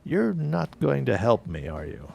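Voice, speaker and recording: A man's voice: medium pitch, huge pitch swings, a little slow, vocal fry, a little mellow, exaggerated voice. A man acting a polite, persistent newspaper city editor in a 1910s period audio drama, speaking in a formal, courteous, probing way. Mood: surprised, wry.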